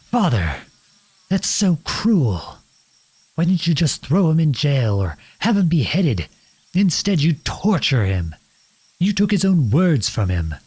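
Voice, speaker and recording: A man playing a defiant young outlawed prince in a dramatic audio roleplay, speaking earnestly and deliberately in a husky voice. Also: mid-range voice, darkish tone. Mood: hurt, accusing. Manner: earnest, deliberate, pleading and accusing. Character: defiant outlawed young prince confronting his cruel king father.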